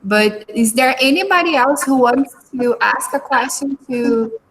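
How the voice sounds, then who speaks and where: Woman host, slow and halting, a bit high, faint room hum. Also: low-quality recording. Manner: hesitant, searching for words, many fillers. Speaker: language coordinator and host. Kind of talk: hosting a school live stream.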